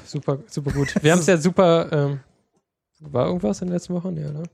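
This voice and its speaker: A man's voice: a little high, fairly even intonation, fast talker, gravelly, darkish tone, slightly rough. A man co-hosting a casual podcast who rambles through his stories and laughs often. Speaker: podcast co-host. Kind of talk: casual chat.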